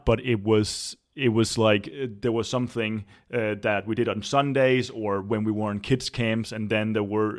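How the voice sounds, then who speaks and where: A man from Denmark with a Danish accent, a pastor telling his personal faith story in an earnest, reflective way, recorded in a home. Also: on the low side. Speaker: church planter and pastor. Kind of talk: personal testimony in an interview.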